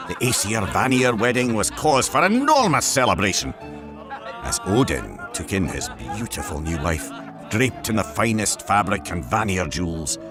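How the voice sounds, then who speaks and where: A man narrating a scripted mythological tale in a theatrical, emphatic, dramatic storytelling style. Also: lowish voice. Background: background music.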